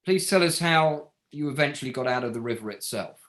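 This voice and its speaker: A man's voice: mid-range voice, lively intonation, brisk, fairly bright tone, natural voice. A man reading a polite, methodical counsel in a courtroom play, formal and considerate in questioning. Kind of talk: table read of a courtroom play.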